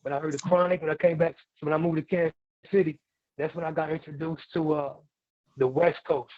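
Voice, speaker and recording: A man's voice: on the high side, fairly even intonation, brisk, no echo. A man in his forties from Germany and Missouri, chatting casually as an interview guest in a laid-back, slangy way. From Germany and Missouri, USA. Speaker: guest, hip hop artist and producer. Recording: narrow, tinny phone-like call audio.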